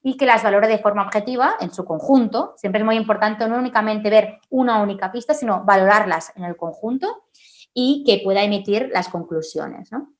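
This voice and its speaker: A woman forensic linguist from Spain, answering questions at a conference in an explanatory way, often tagging her sentences and filling pauses. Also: medium pitch. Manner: explanatory, frequent "¿no?" tags and "eh" fillers.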